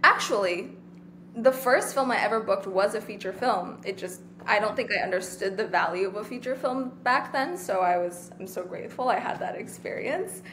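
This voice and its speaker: A person in their twenties from Taiwan, chatting casually as an interview guest, relaxed and rambling with lots of fillers. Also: female voice, medium pitch, normal speed, a little hiss or hum. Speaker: guest actor.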